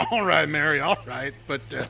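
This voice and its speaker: An actor playing a brash, cheerful logging boss who teases and talks folksy in a radio drama. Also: male voice, on the high side, animated, normal speed, creaky, exaggerated voice, rough recording. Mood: teasing. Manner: loud, folksy, teasing.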